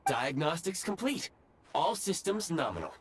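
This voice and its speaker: A man's voice: on the high side, very animated, normal speed, noisy background. A man voicing a formal, dryly condescending train autopilot for a video game, precise and clipped in his wording. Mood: formal, matter-of-fact. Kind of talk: video game character acting.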